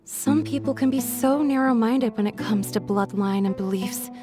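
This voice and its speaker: Young villager woman for a game, voiced by an actress: timid, guarded, courteous, slightly high pitch. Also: moderate pace, close to an everyday voice. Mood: downcast, guarded. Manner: hesitant, polite. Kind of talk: video game voice acting. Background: music.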